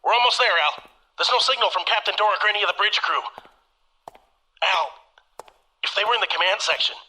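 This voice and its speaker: An actor playing a brash young pirate boss who talks in an energetic, informal way. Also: male voice, sits high, a little fast, heavily acted, rough recording. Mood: urgent.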